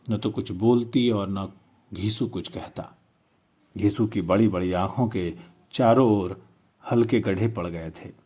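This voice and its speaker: A man's voice: fairly low pitch, warm tone, husky. A man narrating a literary story in a dramatic storytelling style.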